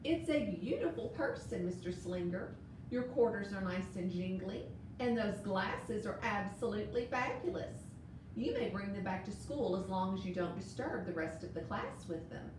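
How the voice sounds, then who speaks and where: A woman's voice: medium pitch, normal speed, lightly acted. A woman voicing a kindly, enthusiastic male schoolteacher from a children's storybook, encouraging in manner. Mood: firm but kind. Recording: very echoey room, distant mic, noise.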